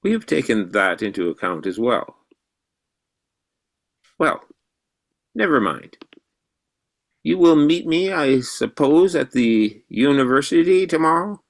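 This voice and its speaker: Man acting as old math mentor, slow and gravelly, very animated pitch, radio play. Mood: calm assurance. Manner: professorial, deliberate, quizzing. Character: elderly, intense mathematician mentor, excited and probing.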